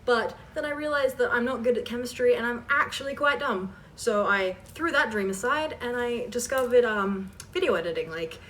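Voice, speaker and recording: A woman's voice: a little high, animated, normal speed, hardly any pauses, fairly bright tone. A woman chatting casually as she answers questions, rambling and self-deprecating with trailing asides. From Canada. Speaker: host of a fan voice-acting channel. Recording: distant mic with faint background noise.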